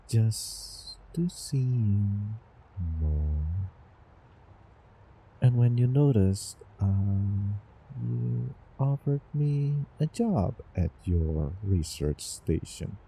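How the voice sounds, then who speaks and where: A man playing a doting, obsessive young student from the future in a halting, intimate audio drama monologue with nervous chuckles. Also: lowish voice, animated, gravelly, breathy edge, exaggerated voice, low-quality recording. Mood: lovesick, wistful. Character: doting, obsessive male time-traveling student in love. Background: soft background ambience.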